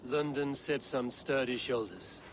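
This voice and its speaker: Actor as measured Viking warrior, noisy ambience. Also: male voice, medium pitch, lightly acted. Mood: measured, courteous. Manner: measured, formal, terse. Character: stoic, measured male Viking clan warrior. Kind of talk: video game acting.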